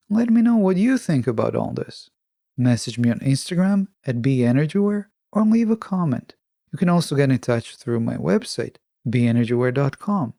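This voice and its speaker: A male podcast host with a mellow, slightly higher voice that moves expressively up and down. He delivers a scripted self-help monologue earnestly, in measured phrases. The sound is dry and close. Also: creaky, no room sound.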